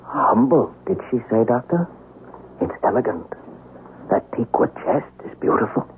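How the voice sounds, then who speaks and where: An actor playing an earnest, courtly young novice samurai in love, in a 1970s radio drama. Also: male voice, mid-range voice, sing-song, a little slow. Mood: polite, curious. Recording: muffled vintage radio broadcast, faint noise.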